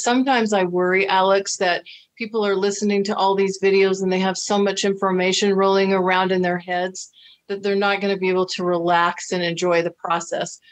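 A middle-aged American woman hosts over a video call, speaking at an ordinary pitch. She is chatty and reassuring, weaving in fillers as she shares advice. Her voice comes through a webcam mic in a home room. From United States. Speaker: dating coach and host. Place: indoor room with flowers.

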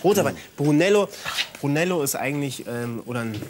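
A man's voice: fairly high pitch, lively intonation, fairly quick, background noise. A man in his forties from Italian-speaking Switzerland, a native Italian speaker, chatting hesitantly with many fillers while cooking as a studio guest. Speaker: guest cook. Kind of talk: casual cooking chat. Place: TV studio kitchen.

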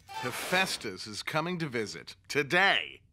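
Snarky vain cat warlock, swoops all over in pitch, cartoon with music. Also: male voice, big pitch swings, measured pace, pushed and bright, exaggerated voice. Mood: sardonic. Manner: sardonic, dramatic, wheedling. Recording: cartoon dialogue with music behind.

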